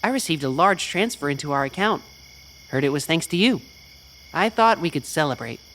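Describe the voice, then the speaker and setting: An actor playing a reserved, bookish young tech researcher in an audio drama, speaking in a measured way. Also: mid-range voice, expressive, fairly bright tone, a little hiss or hum, natural voice, rough recording. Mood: earnest, measured. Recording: clear music bed behind the voice.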